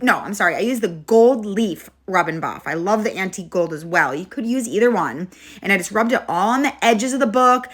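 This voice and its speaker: A young woman hosting a casual craft tutorial, chatty and step-by-step, her voice congested and a little hoarse. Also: mid-range voice, pushed and bright. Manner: chatty, friendly, step-by-step instructions with frequent "okay?". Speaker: craft channel host.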